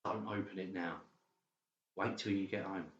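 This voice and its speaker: A man's voice: deep voice, steady tone, normal speed, reverberant, muffled recording, close to an everyday voice. A man playing a troubled, secretive psychiatric patient in an audiobook drama, speaking in short, tentative sentences. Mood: subdued. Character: troubled, secretive son in a mental institution.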